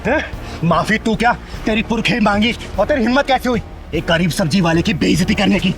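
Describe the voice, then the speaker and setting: A man's voice: sits high, a little fast, soft background music. A man playing a fierce, protective transgender woman who scolds and threatens wrongdoers in a short moral drama. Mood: menacing. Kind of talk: Hindi moral short-film acting.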